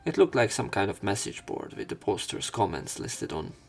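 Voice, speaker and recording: A man with a fairly low, creaky voice narrates a visual novel for a let's play. He reads the text aloud and slips into casual, wry asides, halting as he goes. Faint music plays behind him. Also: very animated. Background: faint game music.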